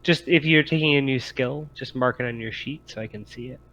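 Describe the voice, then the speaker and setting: A man's voice: medium pitch, brisk, fairly bright tone, muffled recording. A man talking casually as game master of a tabletop game, in a hesitant, conversational way.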